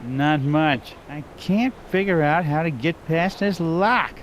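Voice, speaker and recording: A man playing a folksy, placating small-town lawman in an animated game, breezily evasive. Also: a little high, slow pace, slight background noise. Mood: matter-of-fact.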